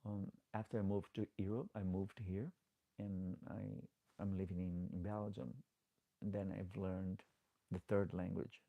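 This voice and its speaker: Low, gravelly man with Portuguese accent. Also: dark tone. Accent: Portuguese-speaking. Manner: chatty, reads comments aloud, pleads for likes. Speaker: drag queen livestreamer. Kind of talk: casual live chat. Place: home, late at night.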